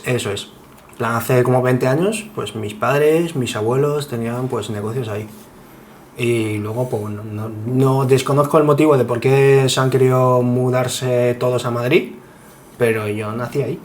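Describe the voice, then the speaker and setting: A man at an average male pitch. He chats casually as a guest, building his answers in loose, hesitant pieces. Background noise is audible. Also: moderate pace. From Spain. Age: 20s. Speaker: podcast guest. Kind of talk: casual chat. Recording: clear steady background noise.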